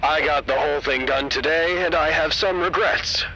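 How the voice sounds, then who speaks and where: A man's voice: a little high, moderate pace. A man playing a weary, laconic coworker in an audio drama, heard through a walkie-talkie radio filter. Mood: weary, rueful. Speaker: voice actor in a fiction podcast. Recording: crackly walkie-talkie radio with loud background.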